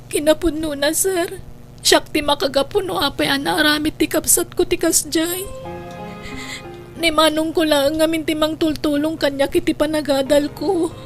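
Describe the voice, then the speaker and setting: A woman's voice: high-pitched, steady tone, normal speed, faint music behind. A woman acting a young student in an Ilocano radio drama, a devoted younger sister who pleads earnestly and tearfully. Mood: pleading.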